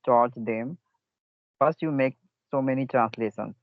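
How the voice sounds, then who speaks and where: A man's voice: medium pitch, a little slow, no echo. Man coaching English over a call. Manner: advising, in short phrases with many "you know" fillers. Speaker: English speaking coach and host. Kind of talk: conversation practice call. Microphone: online call. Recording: dull lo-fi dry call audio.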